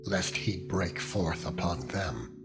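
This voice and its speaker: A man's voice: sits low, animated, slow, voice pushed down. A man voicing the Lord God as a solemn, authoritative deity, delivering archaic commandments in a dramatized scripture reading. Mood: stern warning. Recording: loud music under the voice. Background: loud music.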